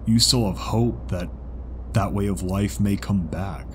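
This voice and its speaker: A man voicing a calm, caring male zebra in an audiobook, speaking in a formal, measured, reassuring way. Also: sits low, noisy background. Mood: earnest. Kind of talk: audiobook character dialogue. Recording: music behind the voice.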